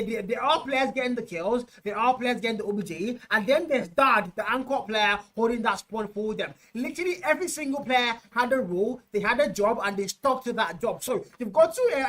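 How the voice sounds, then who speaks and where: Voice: medium pitch, fairly bright tone. Emphatic esports color commentator. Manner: laughing, emphatic, repeats for effect. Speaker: esports caster.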